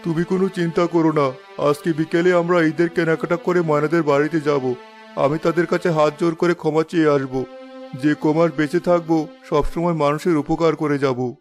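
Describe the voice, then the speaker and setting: Man as solemn rich husband, higher-pitched. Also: fairly even intonation, moderate pace, close to an everyday voice. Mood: remorseful. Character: rich ailing repentant husband, former exploitative chairman. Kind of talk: Bengali cartoon moral-story acting. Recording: music behind the voice.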